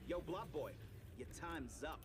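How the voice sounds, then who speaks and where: A sarcastic, hot-headed teenage turtle throws out tough talk with a high, lively voice. Loud game music plays underneath. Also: male voice, unhurried. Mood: taunting. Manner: brash, sarcastic, tough-talking.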